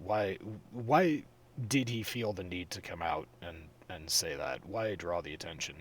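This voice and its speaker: A man co-hosting a casual gaming podcast, talking bluntly and profanely with rambling restarts. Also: sits low. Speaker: podcast co-host. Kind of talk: casual gaming news chat.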